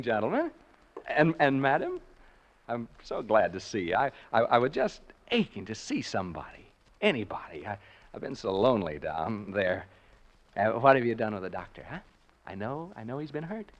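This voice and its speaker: An actor playing a frightened American broadcaster unraveling in a haunted house, rambling and stammering in 1940s radio suspense style. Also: male voice, a little high, a bit bright. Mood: panicked, hysterical. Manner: rambling, stammering, nervous laughter. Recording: dull, lo-fi vintage radio recording.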